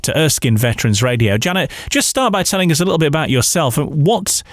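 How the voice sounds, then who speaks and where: A man hosting a conversational radio interview, haltingly repeating words as he goes. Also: fairly high pitch, big pitch swings, no echo, studio quality.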